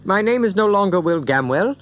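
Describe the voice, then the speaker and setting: A man playing a spirited young gentleman turned outlaw, declaiming eagerly in archaic English in an audio drama. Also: high-pitched, lively intonation, brisk, dull audio. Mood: eager, cheerful. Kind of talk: audiobook radio drama acting.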